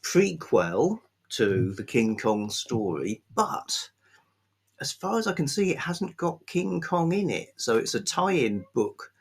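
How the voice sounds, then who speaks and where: A man hosting an informal book review, talking off the cuff in a halting, thoughtful, enthusiastic way. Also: medium pitch, very animated, gravelly, husky. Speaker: book reviewer, video host. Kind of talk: unscripted book talk to camera.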